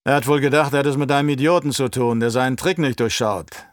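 A man's voice: medium pitch, steady tone, fast, dry recording, studio quality, pitched above the performer's own voice, natural voice. A man voicing a crude, cocky pilot henchman in an audiobook, with a brash, taunting manner. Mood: taunting, menacing.

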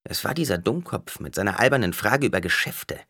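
A man's voice: fairly low pitch, expressive, a little fast, sharp tone, husky, no echo, studio quality, exaggerated voice. A man voicing a disgruntled woman in a crowd for an audiobook, muttering gossip. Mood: muttering, disapproving.

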